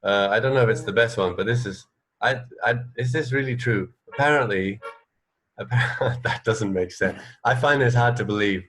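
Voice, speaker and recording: A man with a fairly low voice co-hosts a casual radio show. He reads out bits of trivia haltingly, pausing and restarting as he thinks aloud. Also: a bit bright, husky. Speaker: radio co-host. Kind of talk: casual banter, reading out trivia.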